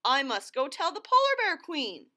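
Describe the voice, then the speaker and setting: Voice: high voice, wildly swooping pitch, normal speed, bright, forceful tone, full character voice. A woman voicing a sly, coaxing male fox trickster in a storybook read-aloud. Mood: alarmed.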